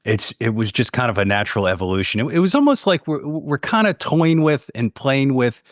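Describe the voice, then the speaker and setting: A man's voice: mid-range voice, very animated, brisk, no room sound, clean studio sound. A man chatting casually as a podcast guest, with informal, loose phrasing. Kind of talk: casual interview chat.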